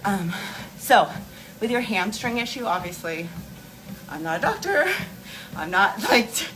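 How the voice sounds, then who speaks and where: Woman chatting casually over clear background noise. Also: medium pitch, sing-song, unhurried. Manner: rambling, informal, explanatory; talking while walking on an inclined treadmill. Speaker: beauty and wellness livestream host. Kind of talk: casual chat answering viewer questions.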